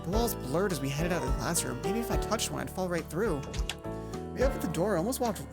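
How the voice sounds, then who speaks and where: A woman's voice: fairly low pitch, expressive, a little fast, loud music behind the voice. A woman hosting a let's play, reading a visual novel aloud and acting out dialogue, with casual asides.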